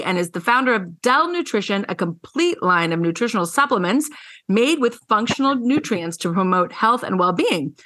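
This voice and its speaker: A woman's voice: a little high, pushed and bright. A woman hosting a podcast interview, effusive and affirming in how she talks. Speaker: podcast host. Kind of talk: scripted guest introduction, then casual interview chat.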